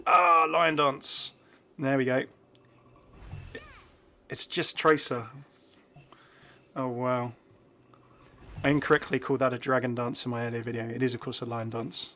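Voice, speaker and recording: A man's voice: mid-range voice, steady tone, unhurried, pauses often, sharp tone, a little hiss or hum. A man hosting a gaming video, giving casual commentary and musing aloud in a chatty way. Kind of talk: casual game update commentary.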